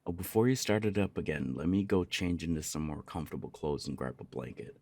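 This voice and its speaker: A man's voice: very deep voice, brisk, darkish tone, dry recording. A young man playing an easygoing, affectionate punk roommate in an intimate ASMR roleplay, chatting casually and teasingly. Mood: playful, amused. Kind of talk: intimate ASMR roleplay, one side of a conversation.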